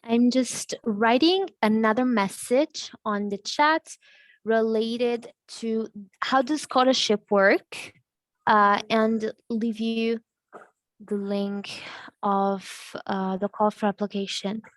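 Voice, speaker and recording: A young woman with a fairly high voice speaks slowly and haltingly, thinking aloud as she answers questions. She talks into a headset on a video call from a small room. The sound is dry and close. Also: steady tone, no room sound. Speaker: university representative.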